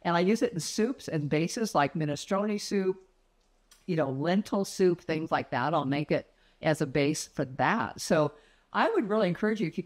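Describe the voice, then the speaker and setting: A woman in her sixties chatting casually to a phone camera in her home kitchen, friendly and rambling with fillers and little laughs. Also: low voice. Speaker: vlogger. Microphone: phone camera at arm's length.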